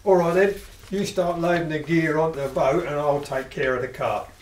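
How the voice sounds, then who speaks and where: A woman's voice: low-pitched, fairly even intonation, normal speed, reverberant, pitched below the performer's own voice, natural voice. A woman voicing Harold, an affectionate bandit husband, in an amateur radio play. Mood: fond. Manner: cosy, affectionate.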